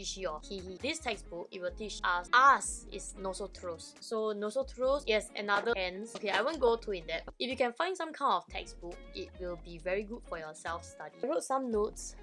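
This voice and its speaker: A woman's voice: mid-range voice, a bit bright. A woman vlogging casually about learning a language, chatty and playfully teacherly, often checking in with the viewer. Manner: chatty, keeps checking in with "okay?". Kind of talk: casual vlog chat.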